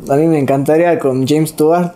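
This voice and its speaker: A young man, speaking in a hesitant, quiet way about racing. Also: fairly high pitch, steady tone, fairly quick. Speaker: guest rider. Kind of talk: casual chat.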